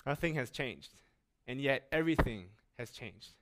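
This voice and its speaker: A young man giving an earnest, deliberate talk on stage to a live audience. He stutters often, repeating sounds and words. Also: mid-range voice, expressive, a bit bright.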